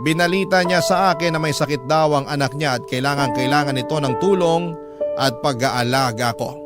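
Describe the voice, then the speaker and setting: Guy reading as a heartbroken young parent, lighter pitch, emotive radio drama storytelling, loud music behind. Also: moderate pace, close to an everyday voice. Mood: reflective, dramatic. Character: heartbroken young parent recounting a painful breakup. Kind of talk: first-person dramatic story narration in letter form. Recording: music bed under the voice. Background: background music.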